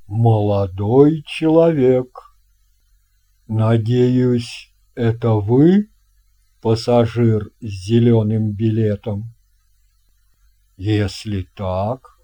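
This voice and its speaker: A man voicing a big, fat, jovial ship's captain in an audio fairy tale, speaking in a grand, courteous, drawn-out way. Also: medium pitch, mellow. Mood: hearty welcome.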